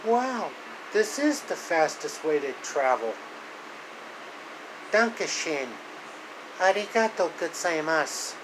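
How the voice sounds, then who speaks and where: A man's voice: fairly high pitch, lively intonation, takes it slowly, long pauses, voice pushed up. A man voicing a cheerful little girl in a homemade storybook tale. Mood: eager. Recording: loud room hum and hiss.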